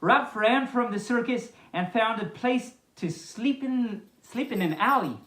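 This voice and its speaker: A woman reads a children's picture book in a lively, swooping voice. She keeps drifting into chatty, halting commentary of her own, playful throughout. The room rings with echo. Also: on the high side. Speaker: storyteller, host. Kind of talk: reading a picture book aloud with casual asides. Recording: echoey, reverberant room sound.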